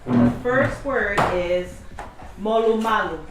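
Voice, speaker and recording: A woman's voice: on the high side, slow, gravelly, heavy reverb, distant mic, very noisy. A woman who grew up in Tonga, patiently explaining word meanings during a family game.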